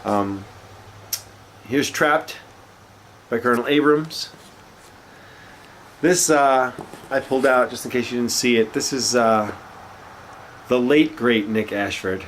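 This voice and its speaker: A man's voice: medium pitch, takes it slowly, long pauses, slight background noise. A man with a cold and a slightly nasal voice, casually chatting as he shows off records from his collection. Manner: relaxed, chatty, with frequent fillers. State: congested with a cold, sniffling. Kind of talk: casual show-and-tell chat.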